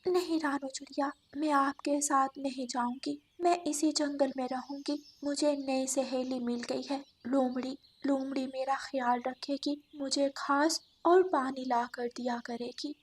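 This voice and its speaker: A woman voicing a naive, trusting but stubborn female goat in an animated moral story. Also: high voice, unhurried, few pauses, a little hiss or hum. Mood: trusting, firm.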